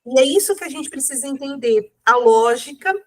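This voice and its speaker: A woman's voice: high voice, lively intonation, brisk, a little mellow. A woman with a cold, speaking casually as an interview guest, rambling with many fillers and self-corrections. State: has a cold.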